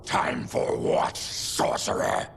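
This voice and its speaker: An actor playing a gruff, honourable mutant warrior in a video game, with a deep, gravelly voice and a stern, weighty delivery. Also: male voice, slow pace, a little hiss or hum. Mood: suspicious, probing. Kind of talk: video game cutscene acting. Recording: loud music behind the voice. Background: loud game score.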